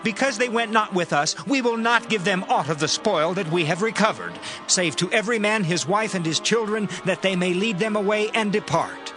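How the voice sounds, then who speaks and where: A selfish, wicked soldier, voiced by a man, talks in a high, scornful voice. Music plays clearly behind the grudging delivery, as in a dramatized scripture audiobook. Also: normal speed, few pauses, lightly acted.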